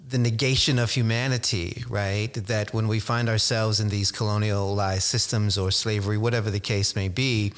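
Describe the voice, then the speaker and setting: A man speaking as a guest on an academic panel, thoughtful and measured. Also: fairly low pitch, steady tone. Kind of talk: panel discussion.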